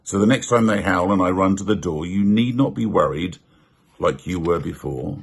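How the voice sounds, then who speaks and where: A man voicing a kind, reassuring firefighter wolf dad in a children's storybook, with a husky voice and gentle, measured manner. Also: sits low, heavily acted. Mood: earnest, comforting.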